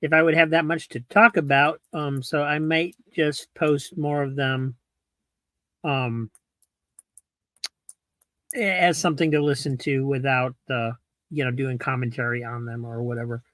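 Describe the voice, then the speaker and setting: A man's voice: medium pitch, steady tone, measured pace, long pauses, fairly bright tone, no room sound. A man hosting with casual commentary, rambling and hesitant as he goes. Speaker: host.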